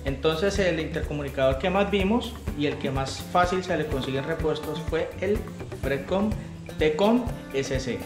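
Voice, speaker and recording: A man's voice: on the high side, normal speed. A man in his forties with a Colombian accent, giving a casual product review to camera as a motorcycle vlogger. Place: living room at home, indoors. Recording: roomy echo, music underneath.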